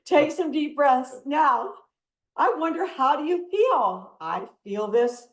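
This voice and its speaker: A woman's voice: sits high, animated, takes it slowly, echoey room. Laughing woman leading exercises. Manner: laughing often, bursts into loud laughter. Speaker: laughter yoga instructor. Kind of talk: guided exercise instruction. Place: video call from home. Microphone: computer mic on a video call.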